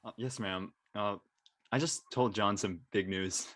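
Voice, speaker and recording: A man playing an earnest, nervous high school senior with a casual, slangy, hesitant delivery in an audio play. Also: a little slow, fairly bright tone, no echo. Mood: shy, polite. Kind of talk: naturalistic dialogue in a live-streamed audio play.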